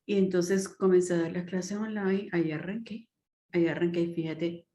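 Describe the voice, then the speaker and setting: A Venezuelan woman with a slightly low voice talks with earnest self-assurance, stumbling into a few restarts now and then. She speaks with a Venezuelan accent. She sounds like she is on a video call. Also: steady tone, normal speed, dark tone, slight background noise. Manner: earnest, self-assured, with repeated false starts. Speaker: Spanish teacher and podcaster. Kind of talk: casual conversation.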